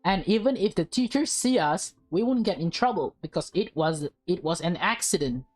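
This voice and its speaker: A woman's voice: on the low side, animated, moderate pace, a little mellow, soft background music. Woman doing a cheeky, dramatic teenage schoolgirl. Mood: smug. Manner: defiant, dramatic. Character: cheeky teenage schoolgirl, scheming and easily panicked.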